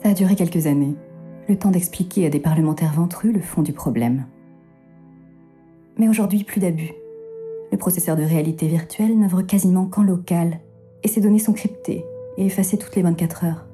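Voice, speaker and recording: Actress for female third-person narrator, noir thriller audio drama; deep for a woman, very soft-edged dark timbre, wry and measured. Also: soft background music, close to an everyday voice. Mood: wry, expository.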